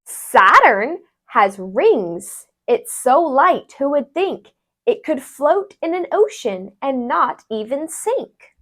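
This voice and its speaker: A woman tutor reading a rhyming children's book aloud, expressively and deliberately, with sing-song phrasing. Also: sits high, sing-song, fairly bright tone.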